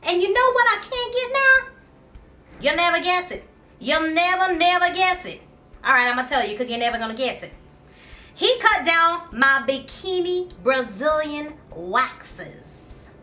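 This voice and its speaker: A loud, complaining wife for a sketch. Her voice is high and sharp, her manner brash and slangy. The room is echoey. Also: expressive, unhurried, exaggerated voice. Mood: brash, indignant. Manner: brash, indignant, folksy slang.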